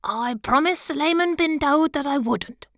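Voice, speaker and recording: A woman's voice: sits high, normal speed, fairly bright tone, dry recording, clean studio sound, voice pushed up. A woman voicing a boastful, self-important little male butterfly in a storybook reading, puffed-up and pompous.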